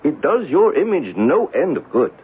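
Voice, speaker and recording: A dutiful manservant in a vintage radio play, coaxing and deferential. His voice is very husky and rough, with lively swoops in pitch, heard over a thin lo-fi broadcast. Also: moderate pace, exaggerated voice. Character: deferential, coaxing male servant to a rich old man. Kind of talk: old-time radio drama acting. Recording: lo-fi narrow-band radio broadcast.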